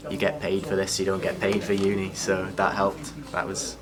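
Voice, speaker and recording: A man's voice: low voice, monotone, normal speed, crowd noise. A young man answers candidly, with frequent fillers. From Wales. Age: young adult. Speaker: film apprentice. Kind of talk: interview answers. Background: background music.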